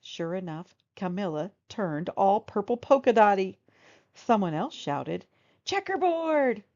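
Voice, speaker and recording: A woman reading a children's storybook aloud in expressive narration, on a slightly muffled recording. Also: lowish voice, a little slow. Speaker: storybook reader.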